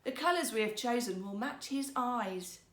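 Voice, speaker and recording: A woman voicing a sly, flattering rogue weaver in a storybook, with wheedling, showy salesmanship. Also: a little high, normal speed, echoey room, recorded from a distance. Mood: smug.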